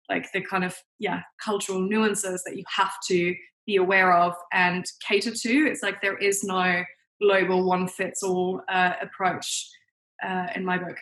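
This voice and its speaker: Bright-voiced young woman from New Zealand and Germany. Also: on the low side, flat delivery, measured pace, low-quality recording. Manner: chatty, frequent "like" and "you know". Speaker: guest, marketing consultant. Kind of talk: conversational interview answers.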